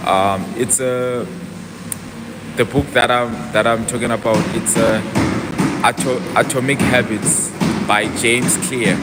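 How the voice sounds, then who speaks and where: A man's voice: medium pitch, heavy background noise. A young man on a casual fitness livestream, chatting to viewers and answering their comments aloud as he talks. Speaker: fitness vlogger.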